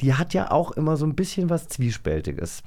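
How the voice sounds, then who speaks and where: A middle-aged man hosting a casual chat interview, often halting and rephrasing his questions as he goes. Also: a little high, big pitch swings, a little mellow. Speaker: podcast host.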